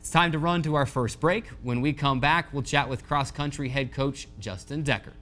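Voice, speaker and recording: A man's voice: mid-range voice, moderate pace, pushed and bright, soft background music. American man hosting a sports roundup. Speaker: sports show host. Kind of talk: sports news rundown.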